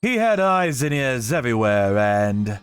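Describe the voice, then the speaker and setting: Guy narrating a dark story, slow n serious, music under it. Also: mid-range voice, very animated, smooth. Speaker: narrator of a manga story, telling it in first person as a vigilante character. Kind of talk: scripted dramatic narration. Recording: music behind the voice.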